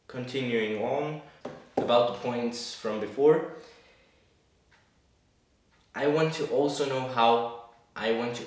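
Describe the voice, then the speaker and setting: A man whose first language is Swiss German, speaking English in a thoughtful monologue about his personal brand and often searching for words. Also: mid-range voice. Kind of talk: reflective talking-head monologue. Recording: echoey room, mic at a distance.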